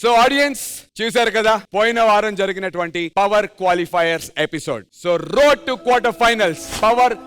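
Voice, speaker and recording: A man hosting a television show on stage, announcing with energy and drawn-out emphasis. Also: sits high, expressive, a bit bright. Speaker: TV show host. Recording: TV stage with music behind.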